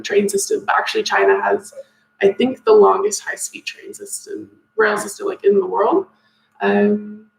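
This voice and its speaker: A woman's voice: on the high side, vocal fry. A young woman from Maine hosting a casual live Q&A, chatty and upbeat with lots of ums. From Maine, USA. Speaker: teacher recruiter hosting a live Q&A. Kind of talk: casual livestream chat answering comments. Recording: echoey room.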